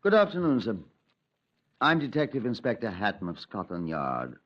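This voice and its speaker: An actor playing a polite, persistent police detective inspector in a 1950s radio drama, formal and courteous. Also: male voice, fairly low pitch, huge pitch swings, moderate pace. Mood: firm. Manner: formal and courteous, calling others sir. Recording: dull old radio recording.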